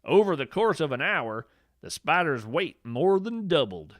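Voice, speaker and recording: A man narrating scripted fiction as a podcast host, with a measured, deliberate and expressive storytelling delivery. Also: a little high, dry recording, studio quality.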